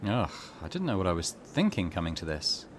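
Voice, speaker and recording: A man's voice: low-pitched, big pitch swings, fairly quick, a little hiss or hum. A man hosting a gaming channel, reading game text aloud and adding musing commentary, with faint game ambience behind him.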